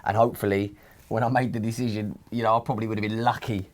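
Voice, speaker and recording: A man's voice: on the low side, little pitch movement. A man in his thirties with an Essex accent, a guest actor chatting casually and matily in an interview. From East London, Essex. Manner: quick, matey, says "mate" and "you know".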